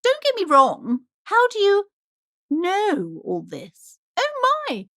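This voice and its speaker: High, swooping, haughty shopkeeper mother. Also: huge pitch swings, slow pace, pushed and bright, exaggerated voice. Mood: suspicious, dramatic. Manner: theatrical, imperious, swooping between sweet and scolding. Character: haughty, superstitious, high-strung shopkeeper mother, pushy and judgmental. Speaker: voice actor in a video game. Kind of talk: theatrical character dialogue for a video game.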